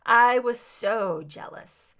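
A woman voicing a skeptical, guarded female investigator in an audiobook, measured and matter-of-fact. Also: a little high, a bit bright. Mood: candid, reminiscing. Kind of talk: fan fiction audiobook dialogue.

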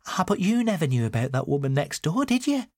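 Man acting a fragile older mother, high-pitched, swooping, plaintive, easily agitated, audiobook dialogue. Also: sing-song, a little fast, dry recording, clean studio sound. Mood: sly, gossipy. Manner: plaintive, self-blaming, abruptly changing subject. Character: Eileen, fragile older mother, sad, agitated, wandering mind.

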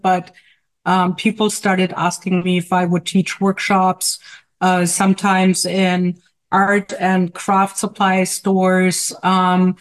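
A middle-aged woman speaks with a German accent in a medium-pitched voice. She talks casually as an interview guest, in a halting way with many hesitations between short phrases. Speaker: guest artist and teacher. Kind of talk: casual autobiographical interview talk.

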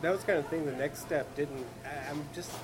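A man's voice: fairly high pitch, a little fast, noisy background. A man with a Valdosta Southern accent talking casually in an easygoing, rambling way. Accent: Southern US, Valdosta Georgia. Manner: easygoing, rambling with fillers and restarts. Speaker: guest author and musician. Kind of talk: casual chat.

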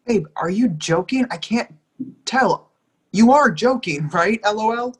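A lovestruck young partner in a stage drama, read by an actor, with a mid-pitched voice. The delivery is emphatic and imploring, hurt and insistent, as in a script-in-hand play reading. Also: animated, a little slow, husky. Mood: bewildered. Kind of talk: readers theatre dialogue reading.

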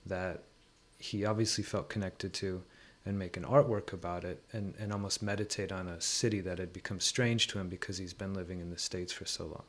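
A man with a fairly low voice talks through ideas in a conversational way. He is reflective and often halting, pausing to search for the right words. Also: fairly even intonation. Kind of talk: thoughtful conversational discussion.

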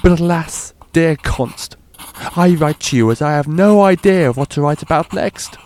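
A man doing a pompous playwright who reads his letters in a slow, grand, theatrical way. The voice sits a little high for a man. The delivery is put on, with long drawn-out phrases. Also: a little mellow, a little hiss or hum, natural voice. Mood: earnest. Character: male playwright Chekhov, pompous and dim.

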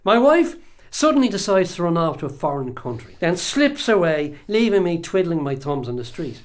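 A possessive, hot-tempered husband in a radio drama. He is sarcastic and bullying, and his pitch swings widely from mutter to protest. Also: sing-song, normal speed. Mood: indignant, sarcastic.

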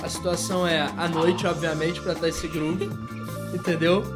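A man's voice: on the high side, level tone, normal speed, music in the background. A man co-hosting a casual chat with friends, playful and slangy, fond of painting vivid scenes as he talks. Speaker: podcast co-host.

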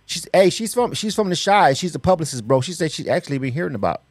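A man's voice: a little high, animated, fast, no room sound, faint music behind. A middle-aged man from Florida hosting a radio interview, loose and slangy, rambling and peppering his talk with casual asides. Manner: loose, slangy, rambling with frequent "you know what I'm saying". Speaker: radio host.

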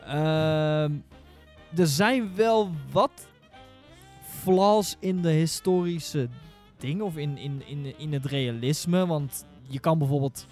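Male podcast panelist, higher-pitched, hesitant and searching in casual talk, faint music underneath. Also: slow pace, darkish tone. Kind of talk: casual discussion about games.

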